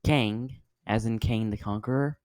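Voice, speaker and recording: A man's voice: fairly low pitch, unhurried, darkish tone, close to an everyday voice. A man reading the part of a kind, supportive, plain-spoken young woman in a dramatic reading. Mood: friendly, helpful. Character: kind young woman who grew up poor. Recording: music behind voice. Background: music.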